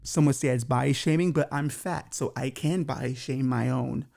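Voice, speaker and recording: A man's voice: medium pitch, normal speed, gravelly, darkish tone. A man hosting a comedy podcast, reading news stories aloud with stumbles and crude, mocking jokes. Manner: crude, mocking, swears freely. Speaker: podcast host. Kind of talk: comic riffing on news stories.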